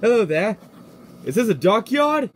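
Man voicing a lively, British-sounding cartoon tugboat. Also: high voice, moderate pace, a little hiss or hum. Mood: friendly, curious. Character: chatty, easily indignant tugboat from America.

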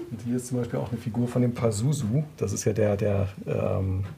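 A man with a very husky voice, commenting casually and knowledgeably, with some hesitation and tags seeking agreement. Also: fairly low pitch, very animated, moderate pace, mellow, a little hiss or hum. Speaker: author, podcast guest. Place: indoors in a museum.

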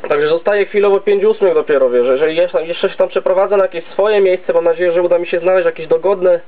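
A man's voice: high voice, fast talker. A man chatting in a rambling, casual way as an amateur radio operator, heard through narrow CB radio audio. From Poland. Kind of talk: casual radio contact chat. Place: home base station.

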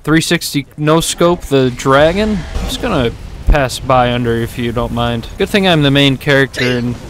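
A man's voice: mid-range voice, expressive, normal speed, fairly bright tone, soft background music. A man in his twenties giving casual, joking, sarcastic video game commentary into a close desk microphone. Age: 20s. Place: small room at home. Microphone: close desk microphone with headset.

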